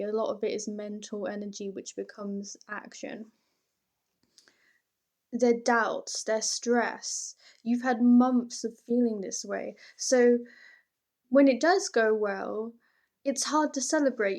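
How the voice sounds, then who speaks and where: A woman with a fairly high voice speaks directly to the listener as a tarot reader. Her delivery is informal and conversational, with earnest emphasis and steady reassurance. She frames the reading as personal encouragement.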